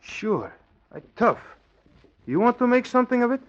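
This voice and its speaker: Tough island gangster, very high animated voice, blunt broken English, old radio crime drama. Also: male voice, moderate pace, slight background noise. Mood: cocky challenge. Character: tough, stubborn male Pacific island crook, cocky and unruffled. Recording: dull old 1940s radio recording.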